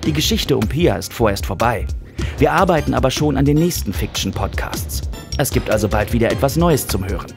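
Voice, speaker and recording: Male announcer, scripted outro, mid register, clear music bed. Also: mid-range voice, expressive, fairly quick. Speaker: podcast announcer.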